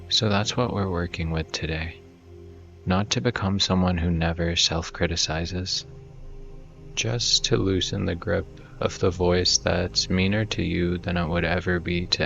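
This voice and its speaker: A man with a very low voice leads a gentle guided meditation. He speaks very slowly and softly, in a conversational way. Music plays behind his slightly muffled recording. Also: creaky.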